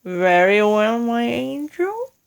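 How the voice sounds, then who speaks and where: A woman voicing a mean, sly husband in a storybook, with mock-solemn, drawn-out menace. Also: a little high, sing-song, creaky, clear, resonant tone, pitched above the performer's own voice, exaggerated voice. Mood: mock concern. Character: sly, mean husband feigning solemn concern, ghoulishly gleeful.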